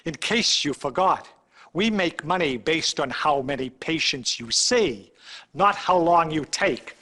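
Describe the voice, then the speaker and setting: A man's voice: a little high, expressive, a little slow, sharp tone, heavily acted. A man playing an irate, penny-pinching hospital administrator in a video game cutscene, scolding and sarcastic. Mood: scolding, exasperated.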